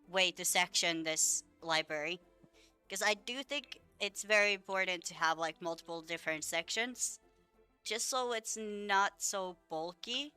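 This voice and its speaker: A young woman with a bright, crisp voice that sits on the low side, chatting loosely through a game build. She is a little under the weather and rambles along with plenty of fillers and the odd swear. Soft music plays quietly underneath. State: a little sick. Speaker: gaming video creator. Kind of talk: casual gameplay commentary.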